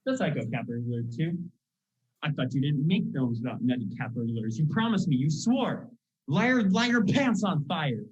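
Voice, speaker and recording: Crazed, pushy young actor type, played by a teen for a stage comedy; animated melody, muffled sound. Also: mid-range voice, normal speed, close to an everyday voice. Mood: manic, accusing. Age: teenager. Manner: theatrical, excitable, pushy. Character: manic, unpredictable aspiring actor posing as crazed gunman. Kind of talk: school one-act comedy stage acting. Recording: muffled, dull school play recording.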